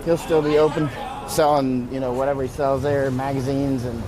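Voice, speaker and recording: A man's voice: medium pitch, little pitch movement, moderate pace, crowd noise, rough recording. A man live-streaming from a city street, talking bluntly and rambling as he narrates what is going on around him. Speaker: war correspondent live-streaming from street protests. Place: busy city street outdoors. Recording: loud street noise behind.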